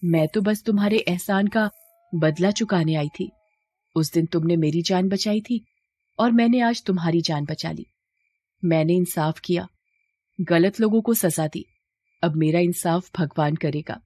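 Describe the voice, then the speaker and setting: A woman's voice: on the low side, a little slow, warm tone, no room sound. A woman voicing a shape-shifting serpent in a moral story, solemn, earnest and grateful.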